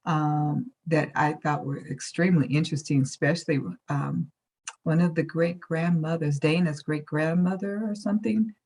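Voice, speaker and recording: A woman's voice: deep voice, fairly even intonation, moderate pace, a little mellow, breathy edge. Female, informal spoken report-back to a group, conversational. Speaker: workshop participant.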